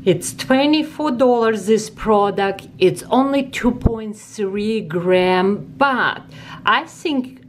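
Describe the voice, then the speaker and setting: A woman's voice: on the high side, expressive, takes it slowly, slight background noise. A woman in her forties from Ukraine with a heavy Ukrainian accent, chatting enthusiastically through a makeup review and swatching products in a store. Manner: chatty, enthusiastic, exclaims often. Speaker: beauty vlogger. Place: department store makeup counter, indoors. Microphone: camera close up.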